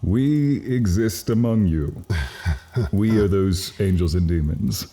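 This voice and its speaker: An actor playing a courteous, earnest demon crown prince passing as human in an audio drama. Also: male voice, low voice, expressive, takes it slowly, dark tone, exaggerated voice. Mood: earnest, revealing.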